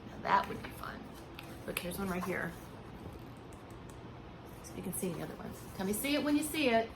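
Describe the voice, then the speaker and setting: A woman reading a picture book aloud like a preschool teacher, with expressive, hushed emphasis and simple asides to small children. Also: mid-range voice, normal speed, noisy background. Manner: simple explanations and questions addressed to small children. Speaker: pre-K teacher.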